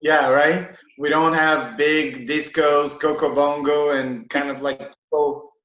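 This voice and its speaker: A man from Brazil, a hotel manager, chatting in a relaxed, halting way with many fillers on a video call. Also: on the high side. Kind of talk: casual interview. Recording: echoey room, dull lo-fi sound.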